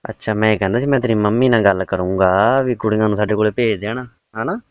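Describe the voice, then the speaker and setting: A man's voice: on the low side, fast talker, clear, resonant tone, no echo. A middle-aged man, having a casual private chat over the telephone. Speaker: uncle on a phone call. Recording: tinny, band-limited phone call recording.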